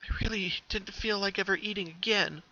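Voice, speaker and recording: A man voicing a shaken young female pony in a story reading, speaking softly and haltingly with sorrow. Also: sits high, fairly even intonation, pitched above the performer's own voice, exaggerated voice. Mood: haunted, sorrowful. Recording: dull, lo-fi home recording.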